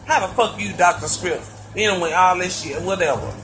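Deep-voiced woman, profane blunt phone-stream monologue, music under it. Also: fairly quick, poor audio. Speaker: live streamer telling her story. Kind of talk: casual monologue to viewers. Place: lying in bed indoors. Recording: played from her live stream.

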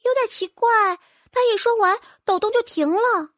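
A woman voicing a small puzzled fairy girl with a very high voice. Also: moderate pace, fairly bright tone, dry recording, studio quality.

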